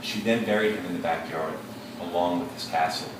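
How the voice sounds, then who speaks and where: Deep-voiced man reading aloud in an echoey classroom. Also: fairly even intonation. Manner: slow, deliberate storybook reading with pauses to think aloud. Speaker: teacher. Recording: echoey classroom from far off, background noise.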